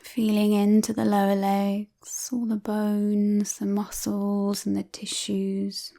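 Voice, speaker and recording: A woman leading a guided relaxation, speaking softly and breathily, often near a whisper, in a calm, soothing way with long pauses. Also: medium pitch, vocal fry.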